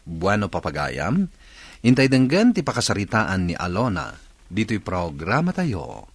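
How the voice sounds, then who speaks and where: A man's voice: low voice, wildly swooping pitch, unhurried, breathy edge, no room sound. A man hosting and narrating a radio drama programme in scripted style.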